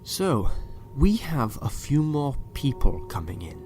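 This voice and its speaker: A man's voice: mid-range voice, big pitch swings, takes it slowly, warm tone, faint music behind. A man playing a sinister doctor in an audio drama, politely menacing and condescending, sometimes murmuring. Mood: cold command.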